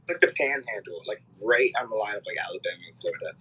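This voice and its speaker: A man's voice: fairly low pitch, expressive, very fast, sharp tone, slightly rough. A young man from Canada, a musician guest, chatting casually and rambling with lots of fillers and swearing. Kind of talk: casual interview chat.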